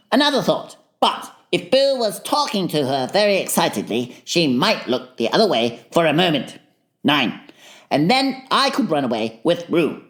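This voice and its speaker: A man's voice: fairly high pitch, a bit bright, pitched above the performer's own voice. A man voicing a bossy, self-assured rabbit who lays out plans in an orderly way, in a dramatized storybook.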